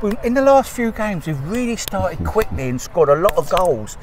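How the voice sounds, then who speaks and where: A middle-aged man asking casual post-match questions as an interviewer outdoors on a football pitch. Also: sing-song, normal speed, a crowd in the background, poor audio. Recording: off-mic outdoors, people in background.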